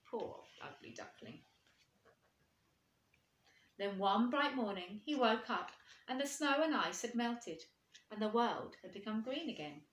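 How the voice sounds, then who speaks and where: A woman reading a storybook to children, expressive and putting questions to her young listeners. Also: a little high, unhurried, long pauses. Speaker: primary school teacher reading aloud. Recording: echoey room.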